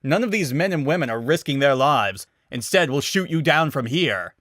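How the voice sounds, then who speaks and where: Man acting a loud, excitable male station scientist. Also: on the high side, normal speed, no room sound, clean studio sound, pitched above the performer's own voice. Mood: wary. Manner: loud, demanding, urgent.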